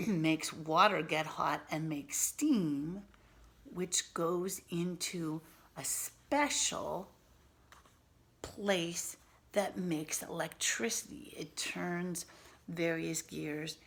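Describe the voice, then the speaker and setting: A woman reading a picture book aloud to children as a teacher, expressively and patiently, pausing to explain and ask questions. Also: low voice. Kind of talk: animated read-aloud with simple explanatory asides.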